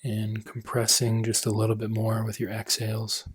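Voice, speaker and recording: A man leading a guided meditation as a coach, speaking gently in unhurried phrases that trail off softly. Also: lowish voice, completely flat delivery, slightly rough.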